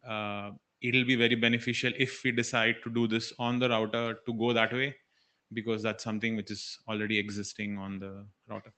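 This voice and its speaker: Man, flat intonation, forceful bright tone, engineer, technical meeting comments. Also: fairly low pitch, fairly quick. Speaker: engineer commenting at a working group.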